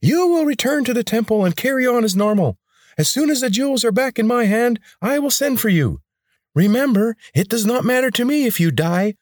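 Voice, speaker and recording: Shrill grating rebel warlord. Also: male voice, expressive, fairly quick, no room sound, studio quality. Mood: menacing. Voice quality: high, grating. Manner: commanding, menacing. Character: high grating rebel leader, male, ruthless.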